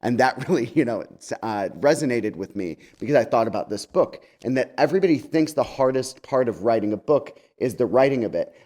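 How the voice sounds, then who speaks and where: A man's voice: mid-range voice, lively intonation, brisk, few pauses, darkish tone. Chatty, wry man speaking to a congregation. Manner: chatty, wry, campy slang. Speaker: guest speaker and author. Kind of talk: conversational sermon message to a congregation.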